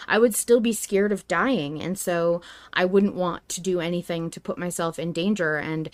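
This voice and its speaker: A woman's voice: on the low side, normal speed. A woman hosting a podcast in casual debate, speaking in an emphatic, opinionated way with some swearing. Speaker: podcast host.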